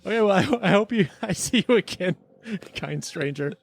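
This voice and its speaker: A man's voice: high voice, expressive, normal speed, creaky. A man hosting a podcast in a casual, joking review-chat style. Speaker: podcast host.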